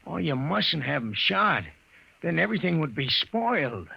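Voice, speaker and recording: An actor playing an odd, devoted little getaway driver in an old radio drama, simple, childlike and deferential. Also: male voice, a little high, very animated, moderate pace, vocal fry, sharp tone, husky, heavily acted. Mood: earnest, pleading. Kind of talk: old-time radio crime drama acting. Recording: noisy, muffled old radio recording.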